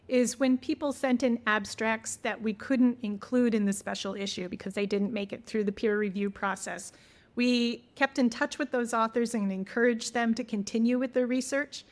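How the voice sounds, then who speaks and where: Woman, guest editor, higher voice, organized, enthusiastic. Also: steady tone, normal speed. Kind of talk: academic interview.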